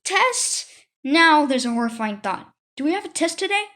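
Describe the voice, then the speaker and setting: A woman plays an excitable schoolboy in a children's storybook. The voice is high and bright, cheeky and quick to panic, full of dramatic exclamations. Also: heavily acted. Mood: alarmed.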